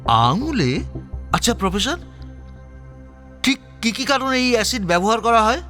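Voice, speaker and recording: An actor playing a brisk, sceptical police chief who questions people in a detective audio drama. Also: male voice, high-pitched, very animated, bright, forceful tone, soft background music, exaggerated voice. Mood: surprised, questioning.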